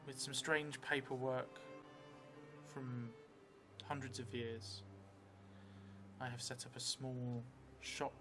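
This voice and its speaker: A man in his thirties hosting a livestreamed tabletop role-play game, speaking in a measured, reflective way. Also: mid-range voice. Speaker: solo role-play game player and stream host. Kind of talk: livestream tabletop storytelling and chat. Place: indoors, small stream set at a table. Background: music.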